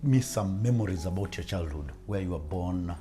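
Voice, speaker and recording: A man's voice: low voice, lively intonation, normal speed, warm tone. A man interviewing someone in a casual chat, often interjecting and repeating answers back.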